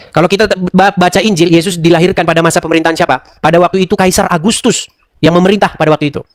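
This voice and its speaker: High-voiced mocking male debate host. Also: dry recording, studio quality. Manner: mocking, lecturing, rhetorical questions. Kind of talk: heated interfaith debate.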